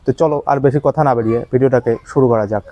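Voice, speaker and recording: A man giving an informal step-by-step tutorial in a halting, repetitive way. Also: mid-range voice, fairly even intonation, highs cut off. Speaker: tutorial host.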